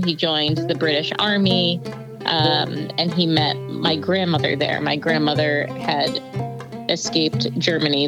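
Low-voiced woman telling her family story, slow and thoughtful, music playing under her. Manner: reflective, unhurried, with fillers. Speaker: interviewee. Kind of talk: personal storytelling.

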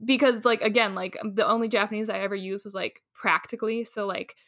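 A woman's voice: mid-range voice, steady tone, brisk, a bit bright, no echo, studio quality. A young adult woman guest on a podcast, talking casually and rambling with many filler words. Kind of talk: casual conversation.

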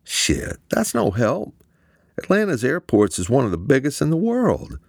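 A man voicing a frantic, excitable young man who shouts and swears into the phone. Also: fairly low pitch, a little slow, breathy edge, no room sound, clean studio sound, pitched above the performer's own voice, full character voice. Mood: agitated. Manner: loud, agitated, swearing.

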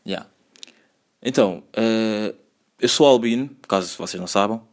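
A young man in his twenties with a Lisbon Portuguese accent hosts a solo podcast. His voice sits a bit low, and he talks in a loose, meandering way, piling up slang and filler words as he goes. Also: unhurried. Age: 20s. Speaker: podcast host. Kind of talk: solo casual podcast monologue.

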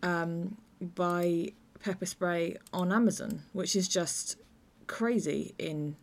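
A woman hosting a podcast, discussing drama in a measured, analytical way. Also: fairly low pitch, steady tone, gravelly. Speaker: podcast host. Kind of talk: discussing an audio play.

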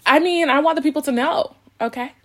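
A woman's voice: high voice, a bit bright. Sassy late-twenties woman chatting on a podcast. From Canada. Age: late 20s. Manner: playful, sassy slang with drawn-out repeats and asides. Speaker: podcast co-host. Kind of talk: casual self-introduction, conversational podcast talk.

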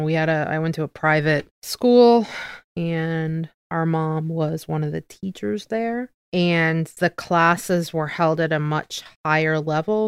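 A woman's voice: low-pitched, smooth, dry recording, studio quality. A woman in casual conversation, thinking aloud and correcting herself as she goes. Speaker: podcast co-host.

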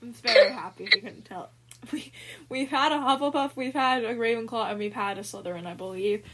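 A young woman with a somewhat high-pitched voice hosts a casual podcast. She rambles eagerly, correcting herself as she goes. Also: brisk, fairly bright tone. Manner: enthusiastic, rambling. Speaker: podcast host.

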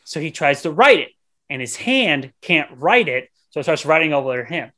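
Male, fairly high voice, casual film banter, rambling, sweary, slangy co-host. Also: big pitch swings, normal speed, sharp tone. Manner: profane, slangy, rambling retelling. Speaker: podcast co-host. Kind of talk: casual movie review banter.

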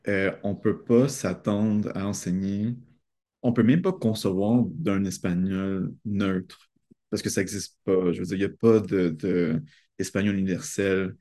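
A man's voice: deep voice, fairly even intonation, measured pace, mellow. A young man, speaking reflectively as a guest in a casual conversation. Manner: thoughtful, reflective, laughs between thoughts. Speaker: guest, doctoral student.

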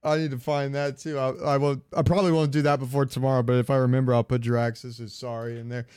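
A man's voice: mid-range voice, level tone, fast, a little mellow, clean tone. A man co-hosting a podcast in casual, informal chat, swearing freely. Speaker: podcast co-host.

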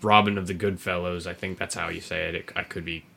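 A man hosting a podcast, explaining video game lore in a casual, rambling, sweary way, with a gravelly, husky voice. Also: sits low, level tone, quick pace, pushed and bright, a little hiss or hum. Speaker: podcast host.

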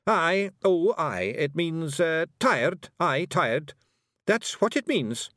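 A man's voice: a little high, very animated, slow, fairly bright tone, no echo, studio quality, pitched above the performer's own voice, heavily acted. A man voicing a boisterous, cheerful little fairy clansman with a Scottish accent in a storybook reading. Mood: flustered bluster. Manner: exclamatory, brash.

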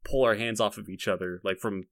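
A young man co-hosting a podcast, reminiscing casually in a halting, rambling way with frequent repeated words. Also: lowish voice, steady tone, no echo. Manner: halting, repetitive, rambling delivery. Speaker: podcast co-host.

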